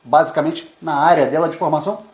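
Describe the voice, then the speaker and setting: A man's voice: a little high, fast. A Brazilian man in his fifties, co-hosting a casual chat and rambling with repeated words. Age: 50s. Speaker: co-host, immigrant journalist. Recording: echoey room.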